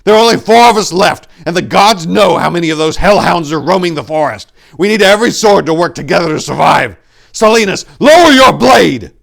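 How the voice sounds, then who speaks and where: A man's voice: sits high, expressive, a little fast, creaky, pitched above the performer's own voice, exaggerated voice. Man acting a stern, commanding warrior monk. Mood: commanding, fierce. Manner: forceful, authoritative.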